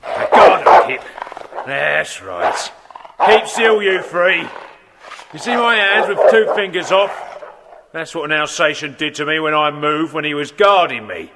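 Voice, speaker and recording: An actor playing a menacing crooked gang boss in a children's audio drama, gravelly and sneering as he barks orders. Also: fairly high pitch, unhurried, background noise. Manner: bullying, barking orders, sneering. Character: menacing crooked dog-thief gang boss, adult male. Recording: echoey hall reverb.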